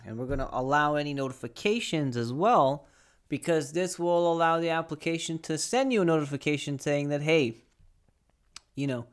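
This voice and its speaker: A young man presenting a conversational step-by-step tech tutorial, explaining and often checking in with the listener, recorded on a close desk microphone in a small studio. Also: a little high. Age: young adult. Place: small home studio with acoustic foam.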